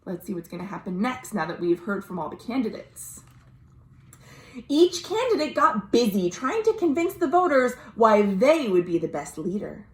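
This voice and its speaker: A woman librarian reading a story aloud to children in an expressive way, pausing to explain things simply. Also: medium pitch. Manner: expressive, addressing young listeners directly. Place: indoors at home. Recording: roomy, echoey home recording.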